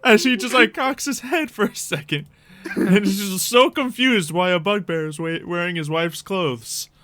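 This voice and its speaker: A man's voice: sits high, moderate pace, a bit bright, slight background noise. A man narrating a tabletop roleplay game as game master, casual and improvising in a jokey way.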